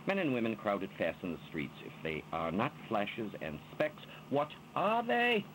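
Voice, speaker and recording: A man giving an expressive, dramatic poetry recitation in a theatrical manner, drawing out his words. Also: on the low side, a little slow, slight background noise, low-quality recording. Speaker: reader of poetry.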